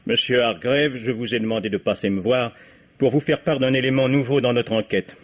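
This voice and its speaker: An actor plays an authoritative male official in a 1950s-style radio drama. His mid-range voice is deliberate and formal, with a stern edge. The recording is slightly dull and dated. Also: mid-range voice, fairly even intonation, natural voice. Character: stern, official male attorney general running an inquiry. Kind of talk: 1950s French radio play acting.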